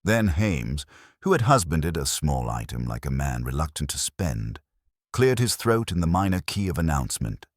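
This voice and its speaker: A man narrating a detective story in a measured, dry, formal storytelling manner. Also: rumbling low, big pitch swings, no room sound. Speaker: audiobook narrator.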